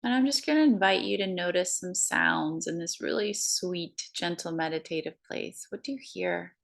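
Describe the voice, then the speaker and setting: A woman guiding a breathing meditation, counting slowly in a soft, soothing way. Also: fairly low pitch, gravelly. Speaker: meditation guide.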